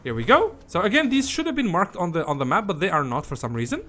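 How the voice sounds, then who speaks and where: A man's voice: high voice, very animated, fast talker, fairly bright tone. A man giving an informal, hesitant gameplay guide, often repeating himself as he goes. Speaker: gaming video host. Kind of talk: casual gameplay guide commentary.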